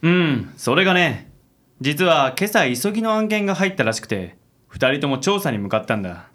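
An actor playing a suave, affected, good-natured company president in a radio drama. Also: male voice, a little high, big pitch swings, moderate pace. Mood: suave, breezy. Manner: suave, theatrical, gentlemanly.

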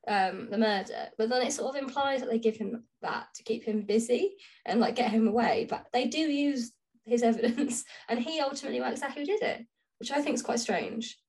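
A woman with a fairly high voice speaks as a podcast co-host. She chats casually about books, explaining things in an informal, rambling, conversational way. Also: a little fast, a little mellow, rough recording. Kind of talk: casual book discussion chat.

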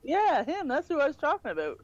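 A woman appearing as a guest on a podcast who chats casually, in an animated and slangy way. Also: high-pitched, fairly quick, highs cut off.

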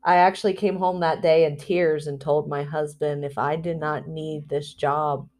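A woman talking candidly in a personal spiritual vlog, rambling and heartfelt, with fillers, restarts and soft laughs between phrases. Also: low voice, little pitch movement, moderate pace. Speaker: spiritual vlogger.

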